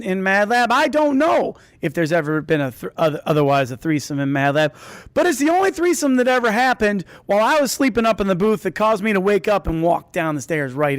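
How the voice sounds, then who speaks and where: A man's voice: high-pitched, clean tone, dry recording. A man telling a comedic personal story to an audience, rambling and interrupting himself with asides.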